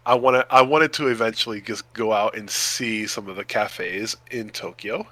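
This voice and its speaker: Bright, playful man chatting on a podcast. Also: on the low side, moderate pace, dry recording, poor audio. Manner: playful, exclamatory. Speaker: podcast co-host. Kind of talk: casual chat.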